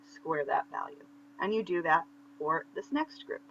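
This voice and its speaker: Woman, instructor, sharp bright voice, conversational step-by-step explanation, slightly muffled lo-fi recording. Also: sits low, expressive, unhurried. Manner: step-by-step explaining with check-in questions. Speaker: statistics lecturer. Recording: muffled lo-fi audio with faint hum.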